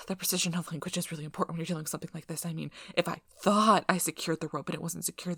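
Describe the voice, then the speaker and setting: Nervous, eager female researcher, played by a woman for ASMR audio drama: bright, very animated, apologetic rambler. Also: on the low side, breathy edge, no echo, exaggerated voice. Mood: rambling, anxious. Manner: flustered, apologetic, rambling, nerdy enthusiasm.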